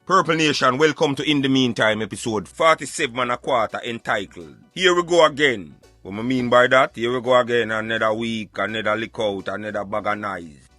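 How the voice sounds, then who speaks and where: A Jamaican man hosting a casual talk show in patois, animated and emphatic as he addresses his listeners. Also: medium pitch, a little fast, dry recording, soft background music. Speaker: radio show host.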